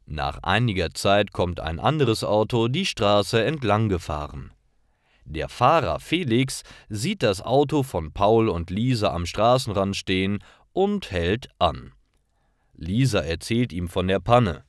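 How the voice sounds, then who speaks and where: A man's voice: lowish voice, sing-song, unhurried, no room sound, studio quality. A man reads simple stories for language learners in a carefully articulated, scripted narration. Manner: simple, carefully articulated read-aloud delivery.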